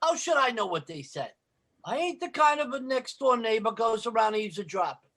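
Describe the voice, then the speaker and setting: An actor playing a working-class next-door pal in an old-time radio comedy, dry-witted and mock-noble, with rough grammar. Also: male voice, extremely high pitch, animated, moderate pace, fairly bright tone, exaggerated voice. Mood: grumbling. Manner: wisecracking, ungrammatical, mock-noble.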